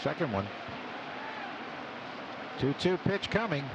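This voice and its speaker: A man's voice: fairly high pitch, very animated, takes it slowly, creaky. A man calling baseball play-by-play live on the radio from a stadium booth. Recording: radio broadcast over loud ballpark background noise.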